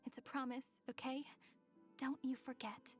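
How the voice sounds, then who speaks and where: Lively young fantasy heroine, high voice, coy, affectionate, game dialogue, music behind. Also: female voice, normal speed, husky. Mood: tender, wistful. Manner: coy, affectionate, addressing the listener intimately. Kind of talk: anime game character voice acting. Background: background music.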